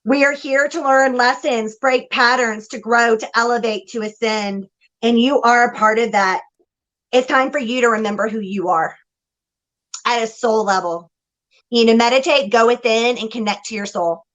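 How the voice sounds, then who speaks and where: A woman's voice: fairly high pitch, moderate pace, fairly bright tone, rough recording. A woman with a Texan accent giving an effusive live spiritual reading as host. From Texas. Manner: effusive, exclamatory, preachy new-age delivery. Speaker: psychic reader.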